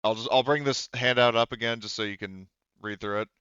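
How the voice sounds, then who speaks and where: A man talking casually as game master, halting and often backtracking as he addresses players. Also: medium pitch, steady tone, fairly bright tone, smooth, no echo, muffled recording. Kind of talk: casual game-running talk.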